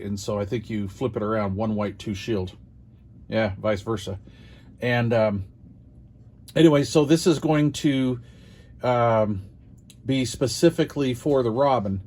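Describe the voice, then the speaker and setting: A man's voice: medium pitch, a little mellow. An older American man chatting casually as he hosts a hobby unboxing video, rambling along in a folksy, self-deprecating way.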